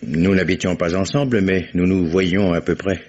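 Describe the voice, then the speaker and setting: An eloquent lawyer under suspicion, fussy and a touch pompous, hesitating as he explains himself. His voice is low and husky, and the recording sounds dull and lo-fi like a vintage radio play. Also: steady tone, normal speed, a little mellow. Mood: defensive. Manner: elaborate, slightly pompous and hesitant. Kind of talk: 1950s radio drama acting.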